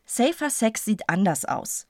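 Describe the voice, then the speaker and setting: Police inspector, radio drama, sardonic, blunt, medium pitch, close dry sound. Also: female voice, very animated, unhurried, darkish tone, no room sound. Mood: irritated, sardonic. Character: irritated, sardonic female police inspector at home.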